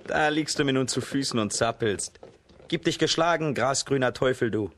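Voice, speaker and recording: A man playing a bold, strong hero in a children's folk-tale radio play, with a husky voice and a determined manner. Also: mid-range voice, moderate pace, a little hiss or hum, natural voice. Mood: taunting. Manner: lively, determined, taunting.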